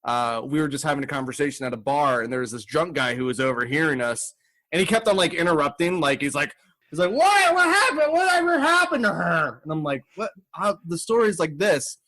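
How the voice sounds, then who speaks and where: A man's voice: a little high, expressive, fairly quick, pushed and bright. A man hosting a comedy podcast, chatting casually and acting out his stories with exaggerated voices.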